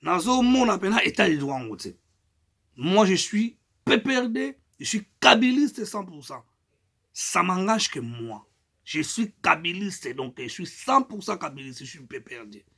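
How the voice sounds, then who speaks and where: A middle-aged Congolese man with a high, husky voice delivers an impassioned political appeal. He speaks French with a Congolese accent and presses his points hard, swinging between loud bursts and quieter stretches. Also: big pitch swings, measured pace. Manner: emphatic, rising and falling loudness, mixes in Lingala phrases. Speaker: political activist.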